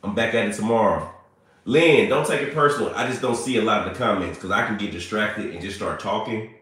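A man, chatting playfully with viewers on a livestream, a little hoarse and congested. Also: lowish voice, fairly quick, fairly bright tone. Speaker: livestream host. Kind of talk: casual livestream chat with viewers. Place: small bright room indoors. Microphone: phone at arm's length. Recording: very echoey room.

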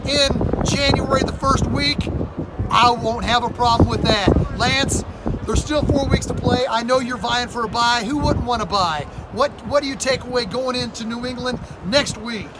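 A man's voice: very high-pitched, moderate pace. A man hosting a postgame fan interview outdoors in a stadium at night, with a hyped announcer-style delivery. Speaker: host. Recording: loud outdoor stadium noise.

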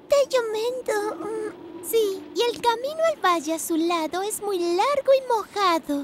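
A woman voicing a sweet, cheerful little girl in a children's cartoon, expressive and encouraging. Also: extremely high pitch, moderate pace, very noisy. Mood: upbeat, reassuring. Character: sweet cheerful little girl, upbeat leader among friends. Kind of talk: animated children's cartoon dub in Spanish. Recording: music playing behind the voice.

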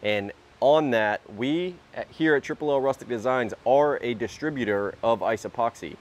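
A man's voice: mid-range voice, normal speed. Young man, casual host, easygoing explainer, outdoor sawmill shed, camera at a distance. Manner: relaxed, explains as he works. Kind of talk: casual workshop vlog.

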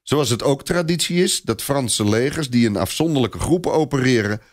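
A man playing a gruff, stubborn knight commander in a story, snapping and grumbling. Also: mid-range voice, expressive, moderate pace, pitched above the performer's own voice, close to an everyday voice. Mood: heated.

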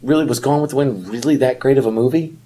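A man's voice: medium pitch, fast talker, mellow, breathy edge. A man in casual, wisecracking chat as a podcast co-host.

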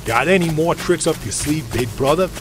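A man's voice: on the high side, animated, moderate pace, pitched above the performer's own voice, lightly acted. A man voicing a chubby, defiant boy in a fantasy audiobook, blustery, complaining and sarcastic. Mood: weary. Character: defiant, grumbling, chubby boy with a cheeky temper.

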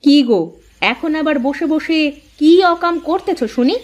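A woman plays a nagging housewife from a village cartoon. Her voice sits very high, and she speaks in colloquial rural phrases with a scolding, sarcastic edge. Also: expressive, a little slow. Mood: needling. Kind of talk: Bengali folk-tale cartoon dubbing.

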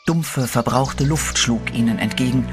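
A man narrates a horror radio play in a measured, dark storytelling way. His voice sits at a middle pitch. Clear music runs behind him throughout.

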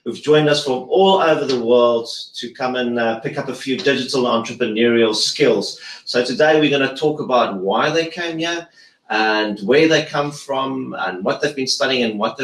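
Man hosting in an echoey meeting room. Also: mid-range voice. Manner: relaxed, halting, many restarts. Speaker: host. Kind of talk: hosting a group conversation. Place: wood-panelled office meeting room.

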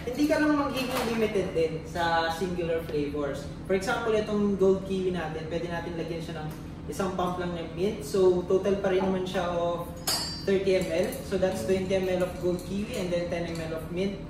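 Filipino male demonstrator, casual Tagalog-English instruction, heavily echoing room with clear background noise. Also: high voice, fairly quick. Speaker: drink demonstrator. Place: small tiled demo kitchen, indoors. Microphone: distant camera microphone. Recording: echoey room, distant mic, background noise.

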